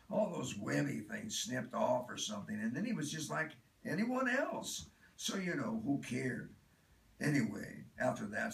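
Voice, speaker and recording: A roomy, slightly distant recording of a man acting as a chatty, self-absorbed do-gooder. The voice is mid-pitched and gravelly. The monologue is conversational and confiding, with earnest emphasis. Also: fairly bright tone. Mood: wry, confiding. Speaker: author reading own work. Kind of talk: dramatic first-person monologue reading. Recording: roomy, distant mic, faint noise.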